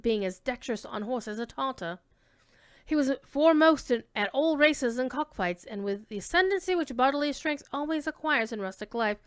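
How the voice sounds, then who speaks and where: A woman giving an expressive dramatic reading of classic literary narration, with emphasis on key words. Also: fairly high pitch, clean tone, dry recording.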